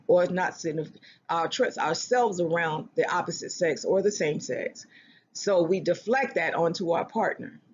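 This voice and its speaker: A speaker giving earnest relationship advice in a self-help talk, often restarting phrases and slowing down to stress a point. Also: mid-range voice, husky, lo-fi sound. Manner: earnest, repeats and restarts phrases, slows for emphasis.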